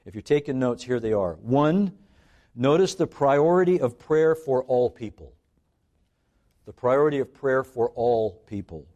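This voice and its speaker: A middle-aged man born in Scotland and raised in Canada, preaching a sermon earnestly, slowing down on key phrases for emphasis. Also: mid-range voice, lively intonation, darkish tone, highs cut off.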